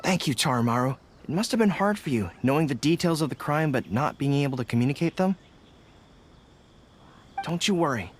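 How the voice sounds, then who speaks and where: An actor playing an earnest, dutiful young army general in an anime video game, speaking formally and with resolve. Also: male voice, fairly high pitch, expressive, fairly quick, husky. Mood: earnest, confident. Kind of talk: English-dubbed anime video game acting. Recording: game music behind the voice.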